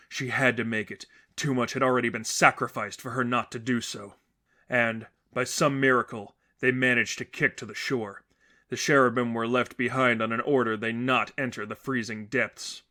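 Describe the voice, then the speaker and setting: A man's voice: medium pitch, sharp tone. Man narrating a dramatic story. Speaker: narrator reading fan fiction.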